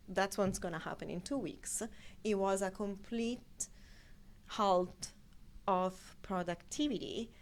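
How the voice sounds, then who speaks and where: A woman in her thirties from Italy with an Italian accent, chatting as an interview guest and prone to long tangents. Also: medium pitch. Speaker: guest scientific editor. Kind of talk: conversational interview storytelling.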